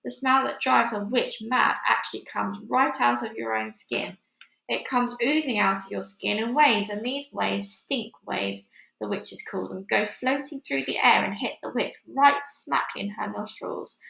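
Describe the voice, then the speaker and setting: A woman's voice: on the high side, a little slow, creaky, bright, forceful tone, exaggerated voice. A woman voicing a stern, knowing old grandmother in a storybook, brusque and instructive. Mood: instructive, relishing. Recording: roomy, echoey home recording.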